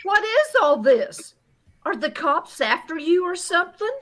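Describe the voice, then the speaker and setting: An actress playing a suspicious, sharp-tongued wife in a radio comedy, scolding and wry. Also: female voice, extremely high pitch, big pitch swings, a little slow, full character voice. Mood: exasperated, suspicious. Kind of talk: 1950s radio comedy acting.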